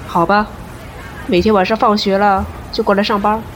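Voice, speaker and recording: A woman acts a curt shop boss in an audio drama. The voice has a medium pitch and a brusque, dismissive edge, with faint noise behind it. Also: little pitch movement, normal speed, some chatter behind, close to an everyday voice. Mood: grudging. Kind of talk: Chinese audio drama acting.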